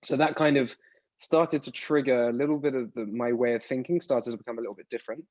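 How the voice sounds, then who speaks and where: A man from the UK in his thirties talks casually as a podcast guest, circling back and restarting his words. His voice sits at a middle pitch and comes through a dull, lo-fi signal. The sound is dry and close. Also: steady tone, fast talker, darkish tone, dry recording. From United Kingdom. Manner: rambling, restarts words often. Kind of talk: casual interview answers.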